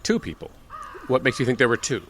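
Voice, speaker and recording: A man's voice: mid-range voice, fairly quick, fairly bright tone, a little hiss or hum, lightly acted. A man playing a dry, guarded small-town sheriff in a radio drama. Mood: probing.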